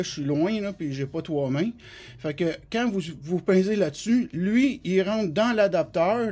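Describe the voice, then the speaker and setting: A notably high-pitched man speaks with a Québécois French accent while fighting the flu. He explains products informally, step by step, in colloquial language. He often repeats his points as he demonstrates. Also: darkish tone. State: has the flu, coughing now and then. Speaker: CB radio specialist giving a product demonstration. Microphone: phone held by the speaker.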